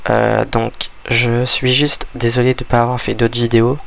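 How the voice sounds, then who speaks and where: A man with a gravelly, fry-heavy voice talks through a game he is playing, congested from a cold. He rambles and stumbles over his words, restarting sentences as he goes, while keyboard typing clicks away behind him. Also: medium pitch, completely flat delivery, normal speed, a bit bright, slight background noise. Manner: rambling, hesitant, many restarts. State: stuffy from a cold. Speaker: gaming video creator. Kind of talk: casual gameplay commentary.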